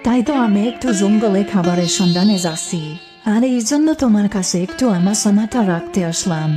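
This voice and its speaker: A woman's voice: medium pitch, fairly quick, over music, natural voice. A woman voicing a caring, earnest donkey mother in a children's cartoon. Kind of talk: Bengali children's cartoon dubbing.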